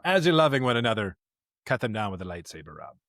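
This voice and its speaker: A man co-hosting a podcast in casual conversation, talking emphatically in digressive, halting phrases. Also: lowish voice, big pitch swings, a bit bright, no room sound, studio quality. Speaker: podcast co-host.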